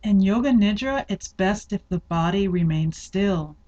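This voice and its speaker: Female meditation guide, mid-pitched voice, very unhurried and deliberate delivery, slightly muffled lo-fi sound. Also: expressive, mellow. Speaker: yoga nidra meditation guide. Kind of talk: guided meditation, slow instructional narration.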